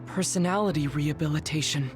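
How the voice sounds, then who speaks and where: A woman playing a calm, serious young officer in a video game, polite and matter-of-fact. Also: sits low, fast talker, lightly acted. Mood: grave. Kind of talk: English video game voice acting. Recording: clear music underneath the dialogue.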